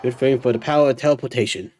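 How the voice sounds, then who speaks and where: A woman's voice: extremely low pitch, rapid-fire, dark tone. A woman streaming a video game, giving casual, offhand, joking commentary. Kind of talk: casual live gameplay commentary.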